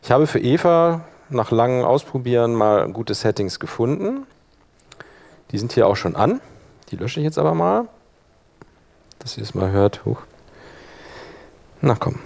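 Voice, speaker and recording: A man's voice: medium pitch, very animated, a little slow, vocal fry, pauses often, darkish tone. A man presenting a workshop in an informal tutorial style, thinking aloud as he demonstrates step by step.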